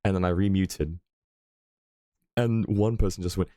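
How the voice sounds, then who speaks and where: A man with a very low, deep voice talks casually to his stream audience from a home setup. He rambles, filling gaps with ums and small chuckles. The sound is dry and close, with no room echo. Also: fairly even intonation, a little slow, mellow. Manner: rambling, lots of fillers and chuckles.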